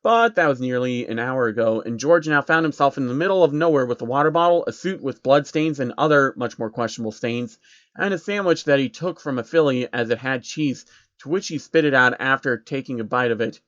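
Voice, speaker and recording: Male story narrator, medium pitch, scripted comic reading, dry and slightly muffled sound. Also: expressive, brisk, a bit bright, no echo.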